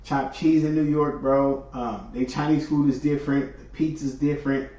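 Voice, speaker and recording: A man's voice: mid-range voice, steady tone, moderate pace, warm tone. A man from California hosting his stream, talking on his own in a rambling, chatty way full of fillers. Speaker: host. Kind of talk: casual solo monologue. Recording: echoey, distant, dull sound.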